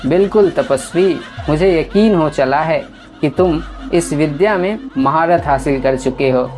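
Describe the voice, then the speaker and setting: Woman as a slow-spoken, teasing holy man. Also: sits low, a little mellow. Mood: relieved, admiring.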